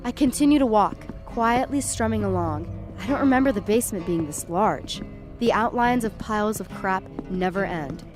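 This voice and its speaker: A woman's voice: medium pitch, expressive, moderate pace, music in the background, lightly acted. A woman playing a frightened, tripping young woman who narrates a supernatural night in the first person, tense and dramatic, in an audio horror drama. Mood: eerie unease.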